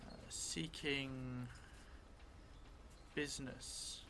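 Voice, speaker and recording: A middle-aged man thinking aloud as he improvises a solo tabletop game, hesitant and musing with long pauses. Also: mid-range voice. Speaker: solo role-player and streamer. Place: indoor theatre room, seated at a table. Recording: clear steady background noise.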